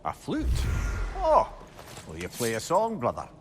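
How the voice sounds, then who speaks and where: A man's voice: medium pitch, unhurried, noisy background. A man voicing a chatty, sympathetic and inquisitive companion in a video game. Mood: curious, wry. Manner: lively, probing, gentle. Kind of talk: dramatic video game voice acting.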